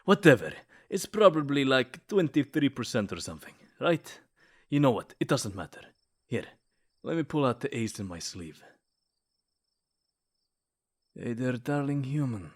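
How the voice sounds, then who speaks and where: A man's voice: medium pitch, very animated, normal speed. Lovesick male vampire, played by a man for comedic ASMR roleplay: jealous, snide, theatrically flirtatious. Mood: stubborn, flirtatious.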